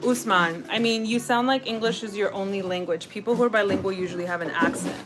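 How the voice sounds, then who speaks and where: A woman's voice: medium pitch, fast talker, poor audio. American woman livestreaming casually over music. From United States. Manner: reads out chat comments and replies conversationally. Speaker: livestream host. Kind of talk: casual chat with live viewers. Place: home kitchen while cooking. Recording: music playing behind the voice.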